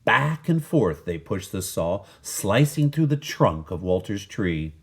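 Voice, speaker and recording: A man reading a children's picture book aloud in an animated, dramatic manner. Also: on the low side, slow pace. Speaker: story reader.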